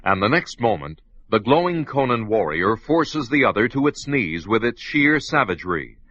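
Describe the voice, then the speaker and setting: A man's voice: medium pitch, sing-song, normal speed, a bit bright, no echo. A man narrating a radio play in a dramatic, emphatic style. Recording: dull lo-fi radio play with music.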